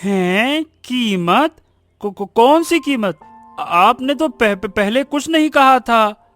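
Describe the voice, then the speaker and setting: An impulsive young son from a cartoon morality tale, acted out by a voice performer. He speaks very slowly in a high, emotional voice and repeats his words, over faint music. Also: lively intonation, a bit bright. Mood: nervous. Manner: stammering, repeats words when anxious. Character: Raju, greedy boastful young man turned desperate, remorseful son. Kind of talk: Hindi cartoon moral story acting.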